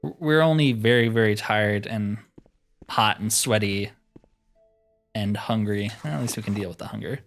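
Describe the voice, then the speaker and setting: A man's voice: lowish voice, moderate pace, faint music behind. A man giving casual, informal commentary on a game playthrough, musing aloud to viewers with mild exclamations. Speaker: gaming channel host.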